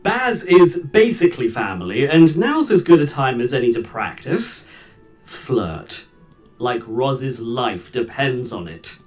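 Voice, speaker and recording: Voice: medium pitch, sing-song, slow, a little mellow, faint music behind. An actor voicing a mischievous, chaos-stirring adventurer in a video game, sly and goading. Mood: teasing. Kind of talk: video game character acting.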